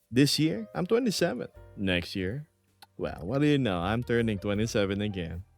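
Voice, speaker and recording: A man's voice: lowish voice, very animated, normal speed, creaky. Man chatting with his viewers over music. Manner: laughs often, reads chat aloud. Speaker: streamer. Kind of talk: casual livestream chat.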